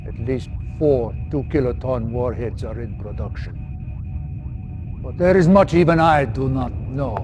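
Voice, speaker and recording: An actor playing a defecting male Soviet official, halting and emotional in a game cutscene. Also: medium pitch, music in the background, lightly acted. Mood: grave. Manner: halting, emotional, dramatic outbursts.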